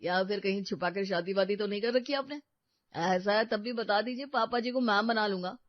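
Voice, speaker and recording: A woman's voice: mid-range voice, moderate pace, no echo, natural voice. A level-headed young husband in a cartoon story who reasons things out and teases family now and then, put on by a woman narrator. The sound is dull and lo-fi with a dry feel. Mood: teasing. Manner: reasoning, teasing at times.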